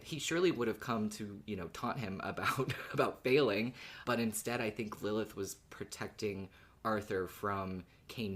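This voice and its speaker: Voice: low voice, fairly bright tone. A podcast panelist in a casual fan discussion, talking in a chatty, informal way.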